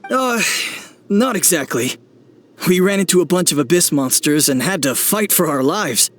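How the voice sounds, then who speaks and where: A man voicing an earnest, excitable young villager in a video game, animated and sincere. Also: a little high, moderate pace, slight background noise, natural voice. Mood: earnest, admiring.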